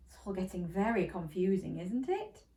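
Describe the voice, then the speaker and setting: A woman's voice: on the low side, big pitch swings, normal speed, dark tone. A woman reading a children's bedtime story aloud, playful and interactive with young listeners. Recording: roomy echo.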